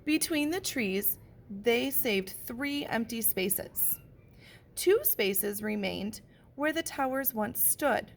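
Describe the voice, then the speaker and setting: A woman reads a children's picture book in a slow, unhurried way, stopping to talk about the pictures. Her voice sits a little high and stays gentle throughout. A faint hiss of noise sits under her voice. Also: animated, gravelly. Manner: gentle, slow storybook delivery with pauses. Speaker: teacher reading aloud. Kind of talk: picture book read-aloud with comments on the pictures.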